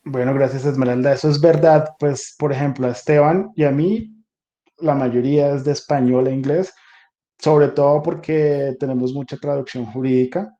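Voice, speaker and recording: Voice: mid-range voice, steady tone, normal speed, mellow, highs cut off. A host with a Bogotá accent moderating a class discussion over a video call, passing questions between speakers with joking asides. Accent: Bogotá Spanish.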